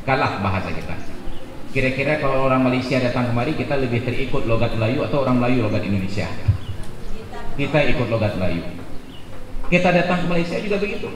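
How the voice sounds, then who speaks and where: A man in his forties giving a jokey, animated sermon at a gathering, building to loud emphatic peaks. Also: mid-range voice. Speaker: preacher. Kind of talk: humorous sermon at a religious gathering. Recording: echoey hall with background noise.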